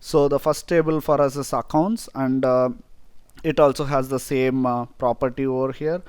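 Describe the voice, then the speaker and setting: Indian man walking through a software demo. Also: mid-range voice, fairly even intonation, normal speed, smooth, no room sound. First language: Tamil. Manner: explanatory, frequent "uh" fillers. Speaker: software tutorial presenter. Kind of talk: screen-recorded software tutorial.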